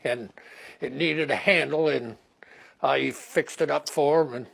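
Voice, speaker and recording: Gravelly older man, plain-spoken. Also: fairly high pitch, a little slow, a bit bright. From Nova Scotia, Canada. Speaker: hammer collector in a news clip. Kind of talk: local news interview.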